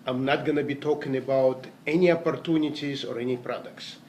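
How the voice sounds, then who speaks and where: A man's voice: mid-range voice, steady tone, fairly quick, a little mellow, a little hiss or hum. A man, giving an earnest, rambling motivational talk about network marketing success, often correcting himself mid-sentence. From Latvia. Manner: earnest, rambling, self-correcting, non-native grammar. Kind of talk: motivational talk with personal stories.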